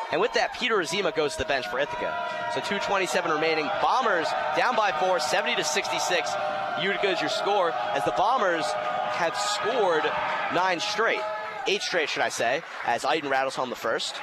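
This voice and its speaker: A young man giving live basketball play-by-play, excitable and raising his voice on big plays. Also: on the high side, normal speed, a loud crowd. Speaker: play-by-play announcer and sports show host. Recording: noisy gym ambience, bouncing ball. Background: basketball game in an indoor gym.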